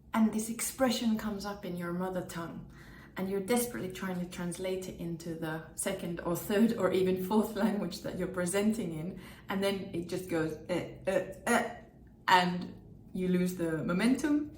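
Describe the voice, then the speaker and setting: A woman's voice: on the low side, a little mellow, slight background noise. Upbeat woman in an echoey room. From Finland. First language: Finnish. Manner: upbeat, emphatic repetition. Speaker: presenter and communication trainer. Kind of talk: direct-to-camera tips video.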